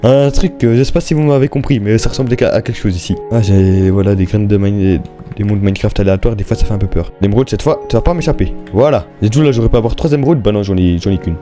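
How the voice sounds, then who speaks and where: A man's voice: fairly low pitch, fast talker, over music. A young man giving casual, slangy Minecraft let's-play commentary, chatting and thinking aloud as he plays. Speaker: gaming YouTuber.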